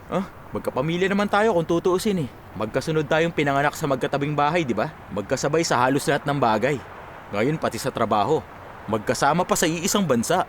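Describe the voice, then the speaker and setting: A man's voice: a little high, lively intonation, brisk, a little hiss or hum, close to an everyday voice. A Filipino actor in a radio drama playing an earnest, playful young man in his twenties who is in love. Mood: casual, friendly. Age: 20s. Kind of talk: Tagalog radio drama acting. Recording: music behind the dialogue.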